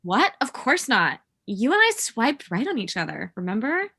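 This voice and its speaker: A woman's voice: fairly high pitch, very animated, moderate pace, sharp tone, husky, no echo, studio quality, exaggerated voice. A woman playing a manipulative girlfriend, blunt and dismissive, in a comedy table read. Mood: indignant.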